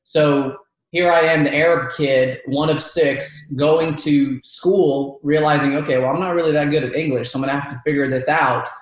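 A man's voice: fairly high pitch, steady tone, normal speed. Chatty man telling stories in an echoey room. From Georgia, United States. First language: Arabic. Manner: chatty, laughing storyteller. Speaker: podcast guest. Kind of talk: casual storytelling interview.

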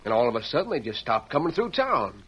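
An actor playing a crooked, bullying small-town sheriff with a gruff, blustering manner, in an old radio drama. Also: male voice, medium pitch, sing-song, quick pace, muffled recording. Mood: placating. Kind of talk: 1930s radio acting.

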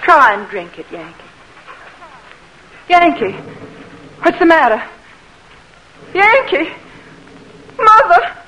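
An actress playing an impassioned young belle in a Civil War radio drama. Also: female voice, very high voice, huge pitch swings, slow pace, long pauses, bright, forceful tone, exaggerated voice. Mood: alarmed. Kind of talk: old-time radio drama acting. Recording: noisy vintage radio recording.

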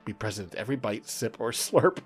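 A man's voice: lowish voice, brisk. A man hosting a podcast in casual, informal conversation, sometimes reading text aloud. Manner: informal, swearing, often laughing. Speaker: podcast host. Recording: background music under speech. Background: music.

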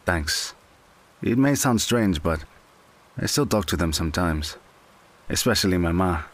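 A man acting a gentle, patient friend in an audio drama, consoling in a measured, coaxing way. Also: deep voice, animated. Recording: clear background hiss.

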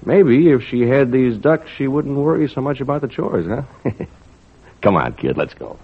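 A man playing a kindly, easygoing lobster fisherman in an old-time radio drama, folksy, gentle and a little hesitant. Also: mid-range voice, animated, a little slow. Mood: gentle coaxing. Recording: narrow, tinny old radio recording.

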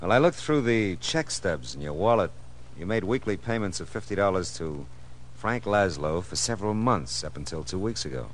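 An American actor playing a wry, world-weary private detective in a clipped, hardboiled 1940s radio style. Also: male voice, low voice, lively intonation, moderate pace, a little hiss or hum. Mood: pressing. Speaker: lead actor and narrator. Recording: old radio show with music.

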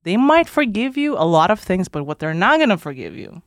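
A woman's voice: mid-range voice, brisk, no room sound, clean studio sound. A woman in her thirties talking casually as an interview guest, telling stories by acting out quotes and often saying "like". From Kazakhstan. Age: 30s. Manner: animated storytelling, quotes people in dialogue, frequent "like". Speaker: guest, social media content creator.

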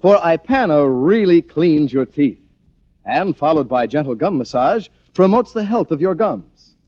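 A male announcer reads a 1940s radio commercial in a polished, direct way. His voice is slightly high and very animated. The recording is dull and dated. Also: a little slow. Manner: polished, direct address to listeners.

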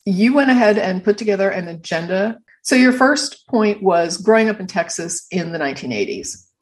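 A woman's voice: mid-range voice, expressive, normal speed, poor audio. Friendly woman hosting a podcast. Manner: friendly, welcoming interviewer. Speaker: podcast host. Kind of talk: podcast interview. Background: guitar music.

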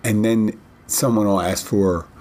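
Husky low-voiced man rambling on a podcast. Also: fairly even intonation, warm tone. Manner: rambling, halting, many restarts. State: recovering from a head cold, slightly rough voice. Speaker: podcast host and bartender. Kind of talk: casual solo podcast talk.

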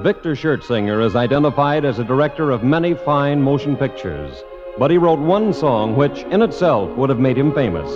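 A man's voice: mid-range voice, sing-song, moderate pace. A man reading scripted old-time radio announcements in a polished, formal presenter's style. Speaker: radio announcer and show host. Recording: dull vintage radio recording, faint music.

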